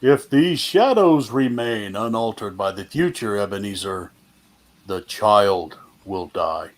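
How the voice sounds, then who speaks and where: A man playing a solemn, stately spirit who speaks gravely and deliberately in a radio play reading. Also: fairly low pitch, expressive. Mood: grave, foreboding. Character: solemn, stately Christmas ghost guiding an old miser.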